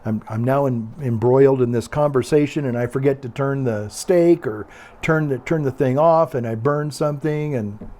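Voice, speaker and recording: An older man with a calm, quiet voice, chatting casually in a meandering, hesitant way and often repeating himself. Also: medium pitch, moderate pace. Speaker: video blogger. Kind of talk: casual rambling monologue.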